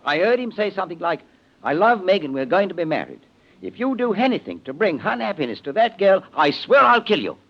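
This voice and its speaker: An actor playing a deferential, fussy household manservant in an old-time radio drama. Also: male voice, high-pitched, big pitch swings, fairly quick. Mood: grave, reporting. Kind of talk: 1940s radio acting. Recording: muffled old radio recording, faint music.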